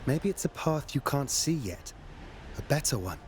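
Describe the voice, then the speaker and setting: An earnest warrior hero. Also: male voice, on the high side, sing-song, noisy background. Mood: consoling, earnest. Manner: earnest, measured. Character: steadfast, compassionate young male warrior hero. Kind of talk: dramatic video game acting. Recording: music behind the voice. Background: music.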